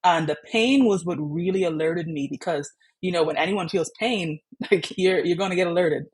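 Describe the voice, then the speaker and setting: Young woman, kinda husky breathy voice, chatty, lots of "like" and "you know". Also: on the low side, normal speed, a little mellow. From Bahamas. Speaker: patient sharing her story. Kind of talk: candid personal storytelling.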